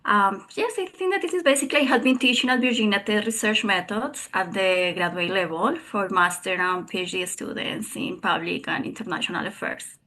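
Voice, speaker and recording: Bright-voiced woman with a Colombian accent. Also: mid-range voice, fairly quick, few pauses. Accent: Colombian Spanish. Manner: earnest, with frequent hesitations and tag questions. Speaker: researcher and consultant on a panel. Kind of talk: panel talk over a video call. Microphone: video call.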